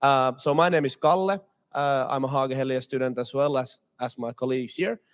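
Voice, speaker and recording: A man's voice: mid-range voice, steady tone, a little fast. A young man from Finland moderating a streamed student panel, hesitant with many filler sounds. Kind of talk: panel discussion on a livestream.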